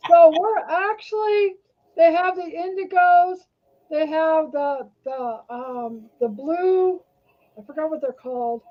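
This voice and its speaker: A woman in her sixties speaking hesitantly in a casual conversation. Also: very high-pitched. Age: 60s. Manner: hesitant, halting. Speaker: co-host. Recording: dull lo-fi audio.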